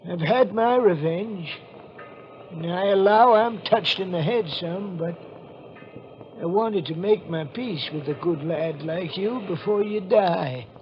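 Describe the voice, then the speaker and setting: A man's voice: high voice, slow pace, faint music behind. An actor playing a vengeful, half-mad old one-legged man with rustic, folksy speech in a 1940s radio drama. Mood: solemn. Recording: dull, noisy 1940s radio recording.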